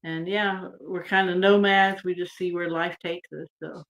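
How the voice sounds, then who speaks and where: A woman from Texas speaks as a podcast guest, her voice sitting on the low side. She talks in a relaxed, meandering way, trailing off and filling gaps with ums. She sounds like she is on a video call. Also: moderate pace, muffled recording. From United States (Texas). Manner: relaxed and rambling, trailing off with fillers. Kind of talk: casual interview chat.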